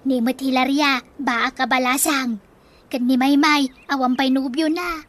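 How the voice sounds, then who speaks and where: A woman's voice: sits high, steady tone, unhurried, a bit bright. A woman with a Filipino accent playing a pleading, scheming wife and mother in debt, whining and wheedling in a comedy radio drama. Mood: scheming, coaxing.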